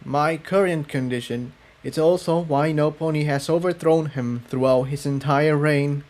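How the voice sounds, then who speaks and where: A man's voice: fairly high pitch, normal speed, a little mellow, voice pushed up, close to an everyday voice. A man voicing a female pony defector in a story, weary and hesitant, choosing words carefully. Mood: grave.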